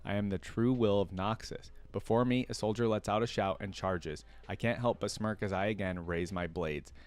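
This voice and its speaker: A man's voice: on the low side, fairly even intonation, moderate pace. A man hosting a reaction video, reading a story aloud and adding casual, conversational fan commentary.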